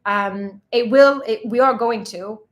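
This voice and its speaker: A woman's voice: a little high, unhurried, dull audio. Chatty woman from Sweden. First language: Swedish. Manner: chatty, laughs often, reads out viewer questions. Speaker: travel vlogger co-host. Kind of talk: casual live Q&A chat.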